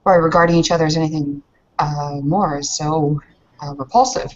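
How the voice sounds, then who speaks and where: A woman's voice: sits low, steady tone, normal speed, muffled recording. A woman voicing an earnest young foreign woman in a roleplaying game, careful and proper, searching for her words. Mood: earnest, uneasy. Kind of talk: improvised in-character tabletop roleplay.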